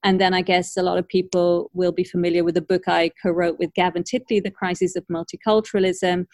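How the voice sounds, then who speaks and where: A woman who grew up in Ireland, hosting an academic conversation in a thoughtful, measured way with frequent hedges. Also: on the low side, level tone, darkish tone, dry recording. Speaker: podcast host.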